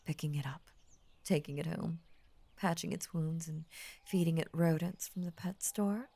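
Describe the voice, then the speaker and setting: A woman voicing an ancient, possessive lamia in intimate roleplay audio, tender and formal, with a breathy husky voice that often drops into a whisper. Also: sits low, dry recording. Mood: wistful, obsessive. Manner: slow, tender, formal, hushed obsessive devotion. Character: ancient possessive lamia, lovestruck, devoted and murderous.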